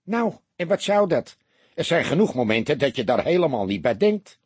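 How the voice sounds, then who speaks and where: A man's voice: a little high, sing-song, moderate pace, a little mellow, slightly rough, no room sound, voice pushed up. A man voicing a cheeky, defensive small-time crook with colloquial, grumbling talk in an audiobook. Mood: impatient, indignant.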